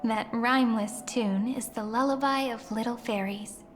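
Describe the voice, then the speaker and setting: An actress voicing a gentle, wistful young fantasy game heroine, speaking in a measured, tender, poetic way. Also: female voice, a little high, fairly even intonation, lightly acted. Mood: solemn, storytelling. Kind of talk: scripted fantasy game voice acting. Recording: long reverb under game music.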